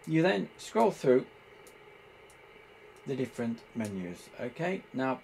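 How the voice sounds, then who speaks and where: Guy doing a casual how-to, bit of fry in his voice, kinda halting, some noise in the background. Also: mid-range voice, big pitch swings, a little mellow, poor audio. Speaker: presenter demonstrating radio equipment. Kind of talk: informal hands-on tutorial.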